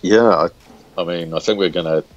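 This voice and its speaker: A man with a very low voice talks casually in an interview as a comic writer. His delivery is halting, with words repeated as he goes. The sound is dull and lo-fi, captured on a phone. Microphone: smartphone recorder.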